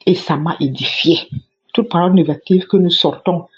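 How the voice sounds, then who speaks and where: Woman, church testimony, husky and fervent, repeats herself for emphasis, muffled lo-fi sound. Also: lowish voice, moderate pace. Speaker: church member giving testimony. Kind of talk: spoken religious testimony. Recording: dull lo-fi recording.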